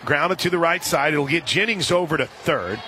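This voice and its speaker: An American man doing live radio play-by-play commentary of a softball game. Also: a little high, animated, moderate pace, a little hiss or hum. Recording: outdoor stadium broadcast with crowd and music. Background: ballpark crowd and music.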